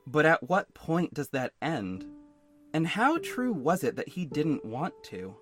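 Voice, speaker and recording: A man's voice: on the high side, sing-song, measured pace. A man plays a young superhero narrating an audio drama, confiding and a little sardonic. He broods frankly over his own story, with music underneath. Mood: brooding, reflective. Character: young adult male superhero narrator, hurt, introspective, sardonic. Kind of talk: first-person audio drama narration with dialogue. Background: instrumental music.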